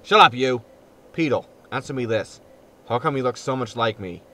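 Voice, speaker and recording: A man's voice: medium pitch, moderate pace, sharp tone, a little hiss or hum, lightly acted. A man playing a grumpy, self-excusing spaceship captain in a sci-fi comedy audio drama, sardonic and grumbling. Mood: irritated.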